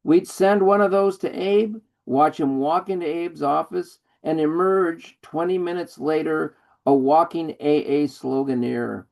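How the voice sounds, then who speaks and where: A man reading his poem at an online open mic, slow and deliberate, speaking over a video call. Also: a little high, fairly even intonation, gravelly, a little mellow, poor audio. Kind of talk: poetry reading.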